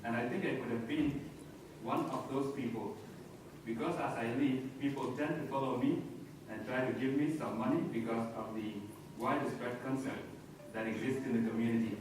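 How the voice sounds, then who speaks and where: A man with a South Sudanese accent telling a personal story as a speech, in deliberate short phrases. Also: medium pitch, steady tone. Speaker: conference speaker. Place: large echoey hall. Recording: very echoey hall, distant mic, noisy.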